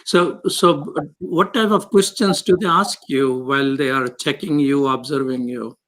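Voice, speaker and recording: A man's voice: medium pitch, a little slow, fairly bright tone, a little hiss or hum, low-quality recording. A man hosting a talk show, asking his guests questions with some hesitation. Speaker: host. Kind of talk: interviewing guests.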